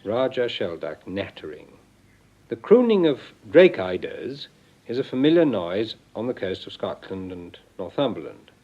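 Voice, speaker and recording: A man giving measured, explanatory scripted narration for an educational record, heard on a vintage recording. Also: lowish voice, big pitch swings, warm tone, a little hiss or hum. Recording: dull, band-limited vintage record.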